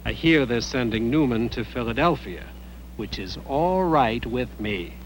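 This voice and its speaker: An actor playing a breezy, wisecracking American journalist, telling an anecdote in colloquial, animated style. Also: male voice, mid-range voice, unhurried, slight background noise, lightly acted, low-quality recording. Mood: amused, casual. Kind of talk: acted documentary-style interview.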